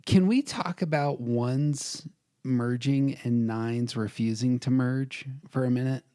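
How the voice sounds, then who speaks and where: A man's voice: mid-range voice, takes it slowly, mellow, no room sound, studio quality. A man co-hosting a podcast in casual debate, bantering in a self-deprecating way. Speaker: podcast co-host.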